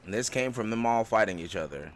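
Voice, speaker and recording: A man's voice: lowish voice, lively intonation, fast, a little hiss or hum. A man giving casual live reaction commentary as a streamer watching a fan dub.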